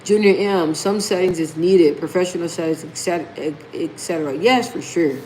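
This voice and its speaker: A woman's voice: fairly low pitch, moderate pace, a little mellow, a little hiss or hum, poor audio. A woman hosting a casual live stream, talking emphatically, repeating key phrases and reading viewer comments aloud. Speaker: livestream host.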